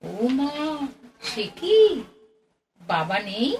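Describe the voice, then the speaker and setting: A woman's voice: mid-range voice, sing-song, drawn-out words, distant mic, a little hiss or hum, full character voice. A woman playing an anxious, chatty middle-aged Bengali mother in an audio play, fretful and exclamatory with a homely scolding manner. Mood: fussing, curious.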